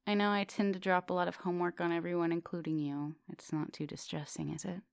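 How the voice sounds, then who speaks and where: A woman acting as an ancient female vampire professor in an intimate ASMR roleplay. Her voice is very low with a gravelly fry, recorded close and dry. She is composed and formal in her wording, yet teasing and gently commanding, slipping at times toward a whisper. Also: level tone, fast, dry recording. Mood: wistful, gentle. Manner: teasing, formal wording, soft dominant, often dropping into whispers.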